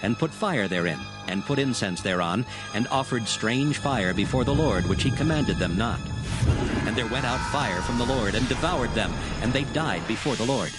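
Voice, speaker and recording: A man narrating scripture from a script in a measured, solemn manner. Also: mid-range voice, animated, rough recording. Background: music.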